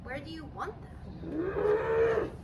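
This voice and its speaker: Woman playing a high-voiced, cheerful little pig. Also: animated, drawn-out words, dull audio, full character voice. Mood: helpful. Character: cheerful, matter-of-fact little pig friend. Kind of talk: children's picture book read-aloud. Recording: distant mic, clearly noisy background.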